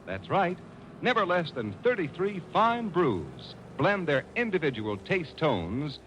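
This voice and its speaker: Lively 1940s radio pitchman, a man. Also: slow. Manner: emphatic, polished sales patter. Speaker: radio announcer. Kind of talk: 1940s radio commercial read. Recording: noisy old 1940s radio broadcast.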